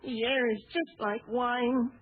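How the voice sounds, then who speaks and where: High-voiced, doleful little postman. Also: flat delivery, takes it slowly. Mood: doleful, prim. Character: doleful, timid male postman with silly airs. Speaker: comic supporting actor. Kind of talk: 1940s radio comedy acting. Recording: tinny, noisy 1940s radio recording.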